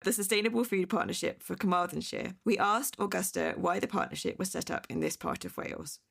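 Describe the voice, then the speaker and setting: A woman's voice: mid-range voice, fairly quick, vocal fry, a bit bright. A woman hosting a podcast, reading scripted links between interview clips. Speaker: podcast host.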